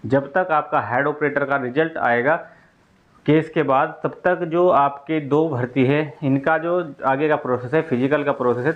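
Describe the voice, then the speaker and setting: A man's voice: medium pitch, phone-quality sound. A man giving an informal explainer to students as a presenter, going step by step and repeating key points. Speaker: exam news presenter explaining updates to candidates.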